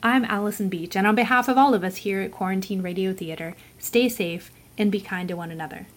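A woman's voice: mid-range voice, a little fast. A woman announcer reading scripted credits for a radio drama.

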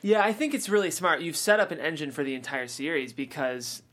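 A woman's voice: low voice, brisk, fairly bright tone. A woman hosting a casual panel interview, speaking articulately and in a complimentary way. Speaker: host.

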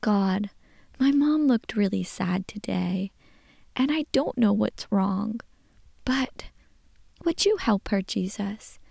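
Woman whispering as a little girl reading her prayer letter, slow and gravelly. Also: medium pitch, animated, dry recording, studio quality, full character voice. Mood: earnest. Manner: soft whisper.

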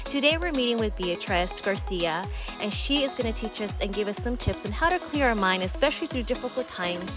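A woman in her thirties hosting an interview show, upbeat and gushing as she welcomes her guest. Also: medium pitch. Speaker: podcast host. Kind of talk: casual interview chat.

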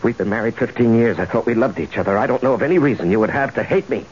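A man's voice: medium pitch, rapid-fire, close to an everyday voice. An actor playing an anxious, ambitious husband and company man, stammering and pleading in a radio drama. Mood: hurt, accusing. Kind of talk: 1970s radio drama acting. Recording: dull, hissy old radio broadcast.

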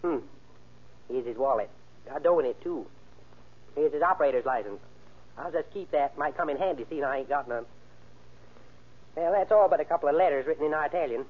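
A man's voice: on the high side, brisk, pauses often, natural voice. A man acting as a cold, cocky gangster ringleader in an old-time radio crime drama, with slangy, bossy tough-guy talk. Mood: callous. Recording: tinny, band-limited old radio recording.

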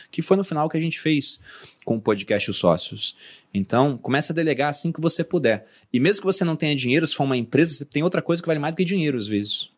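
Fast-talking Brazilian man, chatty. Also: medium pitch. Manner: fast, explanatory, animated when telling stories. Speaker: podcast co-host. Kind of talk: casual chat.

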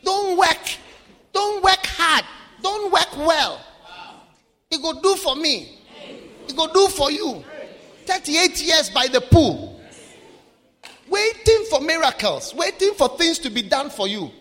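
Man from Africa, preacher, high voice, bright, long hall reverb. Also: slow pace, long pauses. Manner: animated, swings from shouting to low asides. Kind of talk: sermon.

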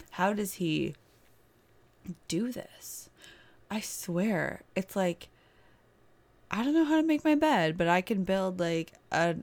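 A young woman talks through a solo podcast episode, her voice low with plenty of gravelly fry. She has a cold, so she sounds stuffy and a bit rough in the throat. Her delivery is loose and rambling, full of asides and "like". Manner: chatty and rambling, many "like"s. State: stuffy nose, sore throat. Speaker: podcast host. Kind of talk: casual solo podcast chat. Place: boyfriend's house, indoors.

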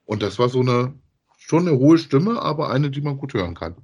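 A man's voice: mid-range voice, expressive, moderate pace, a little mellow, slightly rough, lo-fi sound. A man co-hosting a podcast, chatting casually in a rambling, repetitive way as he reviews. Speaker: podcast co-host.

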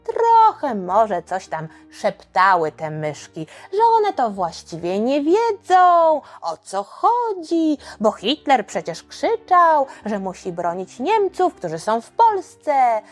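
High, animated woman storyteller. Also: slow, hardly any pauses, faint music behind. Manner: dramatic, conversational, with rhetorical questions. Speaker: podcast narrator and storyteller. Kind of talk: expressive scripted storytelling for children.

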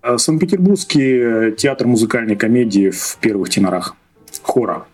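A man's voice: medium pitch, normal speed, a little mellow, faint music behind. A man in his thirties from Russia, a podcast guest telling his story in a hesitant way, restarting phrases and laughing often. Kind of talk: casual podcast chat.

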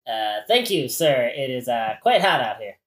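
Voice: medium pitch, big pitch swings, normal speed, fairly bright tone. Roomy echo, an actor as flustered male courtier, hesitant, fawning, swooping intonation, audio play comedy. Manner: hesitant, deferential.

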